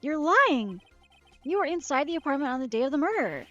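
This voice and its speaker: An earnest young defense attorney presses his case, declaiming each point with determination, read by a woman. The voice sits high, slightly muffled, with game music playing underneath. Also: very animated, brisk, bright, forceful tone, no echo, full character voice. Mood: determined. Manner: determined, declaiming, reading aloud.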